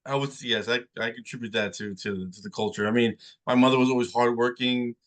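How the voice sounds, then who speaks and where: A man from New Jersey, a guest in a casual interview, talking in a halting way and repeating words as he thinks. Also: mid-range voice, steady tone. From New Jersey, United States.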